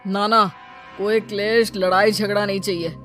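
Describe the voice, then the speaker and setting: Gentle elderly father-in-law voiced by a woman, soothing, mid-pitched, faint music. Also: steady tone, moderate pace, natural voice. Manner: soothing, pleading for peace. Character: calm peace-loving elderly father-in-law.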